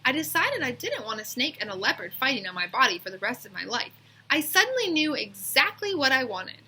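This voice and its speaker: A woman's voice: high-pitched, sing-song, fairly quick, bright, forceful tone, a little hiss or hum, voice pushed up, heavily acted. A woman voicing an indecisive, earnest schoolboy in a children's storybook, with an emphatic, griping manner. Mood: explaining earnestly. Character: indecisive, earnest schoolboy agonizing over a big choice.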